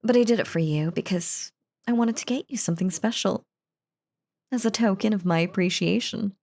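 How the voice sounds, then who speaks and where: A woman's voice: mid-range voice, normal speed, darkish tone, lightly acted. A woman playing a possessive, lovestruck yandere wife in an intimate audio roleplay, talking in a doting, gushing, cheerfully unhinged way. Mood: affectionate, spoiling. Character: lovestruck possessive yandere wife, sweetly menacing crazy stalker. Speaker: voice actor.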